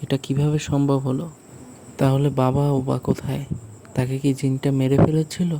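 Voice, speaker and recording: A man playing a village husband who recounts an eerie night in a polite, uneasy, measured way for a cartoon story. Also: medium pitch, little pitch movement, noisy background, lightly acted. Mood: worried, alarmed. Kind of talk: first-person cartoon story narration.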